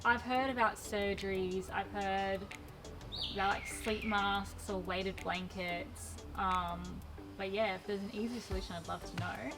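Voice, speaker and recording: A woman in her twenties giving lively, playful interview answers and laughing often. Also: mid-range voice, measured pace, distant mic, music in the background. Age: 20s.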